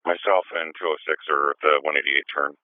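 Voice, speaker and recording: A man, a police supervisor, giving measured instructions to units over a police radio heard on a scanner. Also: extremely low pitch, fairly even intonation, clear, resonant tone, no echo, narrow, tinny audio.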